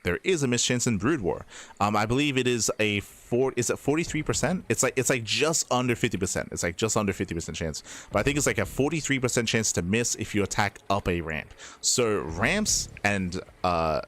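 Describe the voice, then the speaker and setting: A man's voice: on the low side, lively intonation, fairly quick, a bit bright. A man from Australia with a mild Australian accent, casting a game solo in a chatty, explaining way with lots of fillers. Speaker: esports caster.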